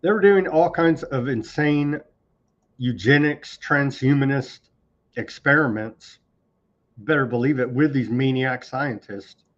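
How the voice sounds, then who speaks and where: A man's voice: mid-range voice, a little slow, highs cut off. A man hosting a live online news commentary show, sarcastic and scornful as he rants through the headlines. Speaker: political commentary host.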